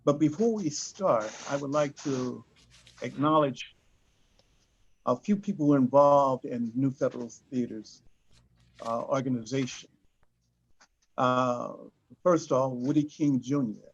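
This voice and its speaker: A man giving hesitant, halting opening announcements as an event coordinator over a lo-fi video call. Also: mid-range voice, a little hiss or hum. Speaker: workshop coordinator introducing the event. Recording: dull lo-fi video call audio.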